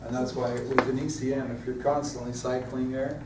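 A man's voice: mid-range voice, flat delivery, brisk. A middle-aged man giving a sales training lesson at a whiteboard, explaining plainly and answering trainees' questions. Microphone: far camera. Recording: echoey room from far off, noisy.

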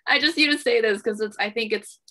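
A woman's voice: a little high, fast talker, pushed and bright. A young woman from Hong Kong hosting an artist talk on a video call, speaking in a hesitant, self-deprecating way. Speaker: moderator. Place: small room at home.

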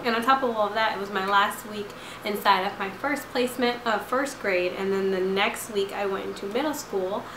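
Slightly hoarse young woman chatting to camera. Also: medium pitch, echoey room, background noise. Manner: chatty, rambling, lots of fillers. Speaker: vlogger. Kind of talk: casual chat to camera. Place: living room couch at night. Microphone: camera at close range.

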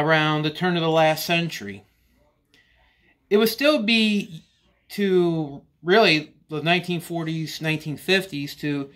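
A man in his forties reviewing hand saws in a cluttered workshop, talking slowly and rambling with restarts. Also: a little high, fairly bright tone. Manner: slow, rambling, with frequent restarts and "you know". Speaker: woodworking channel host. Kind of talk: casual workshop tool review. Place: cluttered indoor workshop or basement.

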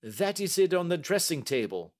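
Man acting impatient old banker, high and agitated. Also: big pitch swings, moderate pace, a little mellow. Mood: curt. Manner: emphatic, formal Victorian speech. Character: impatient, indignant older banker, head of the household. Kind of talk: audiobook character acting.

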